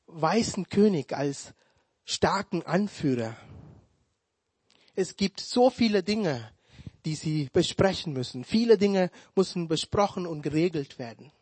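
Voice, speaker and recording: A man whose first language is Tamil, preaching a sermon in a slow, deliberate way with touches of humor. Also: high voice, darkish tone, no room sound.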